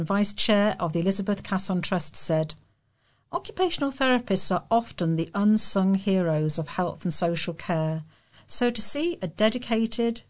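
A woman's voice: medium pitch, a little mellow. A British woman reading local news articles aloud as a volunteer news reader.

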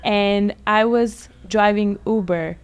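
A woman's voice: mid-range voice, steady tone, takes it slowly, smooth. Chatty woman from Bulgaria. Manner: chatty, laughs often, acts out quoted people. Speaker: guest, lash technician. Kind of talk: casual interview storytelling.

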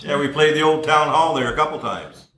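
A guitarist chats and tells stories in a warm, easy way. His voice is bright and forward. The room adds a clear echo. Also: fairly high pitch, brisk. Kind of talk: casual chat.

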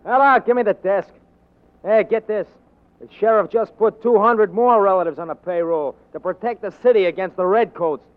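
A man acts a mocking newspaperman in a 1940s radio play. His voice is high and wry, made for needling. The recording is thin and phone-like. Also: moderate pace, close to an everyday voice. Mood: brisk. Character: needling, wry newspaper reporter baiting officials. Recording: tinny, narrow-band 1940s radio recording.

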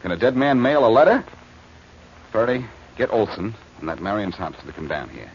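An actor playing a cocky, quick-witted amateur detective in a 1940s radio crime drama, wisecracking in emphatic slang. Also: male voice, fairly low pitch, lively intonation. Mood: confident. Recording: muffled, hissy old radio recording.

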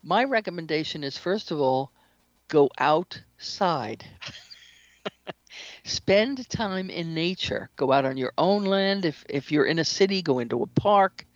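A woman's voice: sits low, expressive, slow pace, no room sound. Woman interview guest, dry and slightly muffled. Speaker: interview guest, shamanic practitioner and author. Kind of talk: conversational explanatory interview talk. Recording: slightly dull lo-fi audio.

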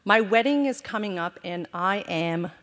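A woman from Afghanistan, raised in Canada, telling a personal story live on a theater stage, earnest and deliberate. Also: medium pitch, animated, fairly bright tone. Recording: reverberant theater hall.

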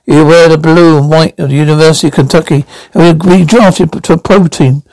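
A man reading the lines of a frank young woman from a small town, talking in quick rushes and restarting words. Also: on the high side, fairly even intonation, creaky, darkish tone, no echo, low-quality recording.

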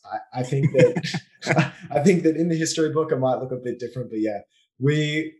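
Australian man chatting as podcast guest. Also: medium pitch. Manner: relaxed, explanatory, frequent fillers and restarts. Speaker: podcast guest, business owner. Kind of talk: casual conversational interview.

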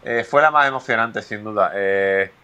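A man's voice: fairly low pitch, moderate pace, sharp tone, clean tone, highs cut off. A Spanish man, a professional golfer, answering interview questions in a hesitant way, with many fillers and restarts. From Spain.

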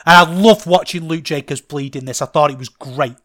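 A middle-aged man with a Manchester accent, hosting a casual solo podcast, rambling and getting loud when excited. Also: on the high side, a little fast. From England. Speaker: podcast host.

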